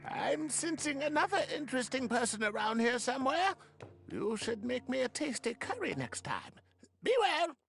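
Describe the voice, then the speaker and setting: A woman's voice: high voice, big pitch swings, moderate pace, gravelly, faint music behind, heavily acted. A woman playing a playful, smug, long-lived hermit sage in a video game, talking in a teasing, aloof way. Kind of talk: video game story cutscene acting.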